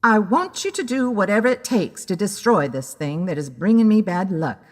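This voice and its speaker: A woman's voice: mid-range voice, lively intonation, moderate pace, pitched above the performer's own voice, close to an everyday voice. A woman voicing a huffy, demanding elderly client in an audio story. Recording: long hall-like reverb.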